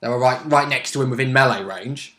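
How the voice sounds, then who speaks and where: A man's voice: medium pitch, fairly quick, pushed and bright. A man chatting casually as a tabletop player, recounting his character's exploits in a jokey, chuckling way. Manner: jokey, often chuckling while talking. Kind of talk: casual chat.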